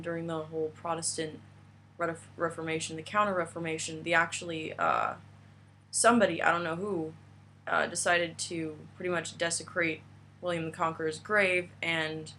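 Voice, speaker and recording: A young woman from the United States chats through a travel story, piling on fillers and restarts. Her voice is bright and a little low, and a faint hum sits behind her. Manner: chatty, rambling with fillers and restarts. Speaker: vlogger. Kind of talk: casual travel vlog chat.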